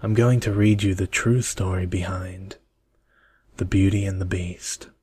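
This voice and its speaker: A young man speaking in a soft, measured, soothing ASMR style, as if for a bedtime story. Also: deep voice, fairly even intonation, breathy edge, dry recording. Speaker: video creator reading a bedtime story.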